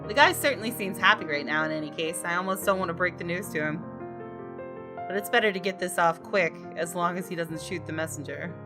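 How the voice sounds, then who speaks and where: A woman, a gaming streamer reading visual novel narration aloud in an expressive, dramatic way. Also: on the low side, a little fast. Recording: music behind the voice. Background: game music.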